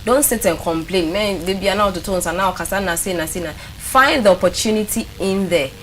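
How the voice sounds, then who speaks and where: A woman's voice: mid-range voice, a little fast, a bit bright. A Ghanaian woman hosting a studio talk show in a chatty style, with a Ghanaian accent. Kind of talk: studio talk show chat, mixing English with a local language. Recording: clearly noisy studio recording.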